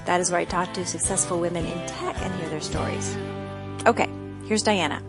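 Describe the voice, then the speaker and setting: A woman's voice: on the low side, a little fast, loud music behind the voice. A woman hosting a podcast, reading a scripted introduction. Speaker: podcast host and interviewer.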